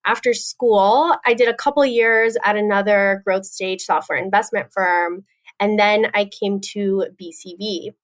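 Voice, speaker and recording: A woman's voice: mid-range voice, fairly even intonation, a little slow. Young woman guest raised in Texas. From grew up in Texas. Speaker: podcast guest, investor. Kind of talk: interview answers.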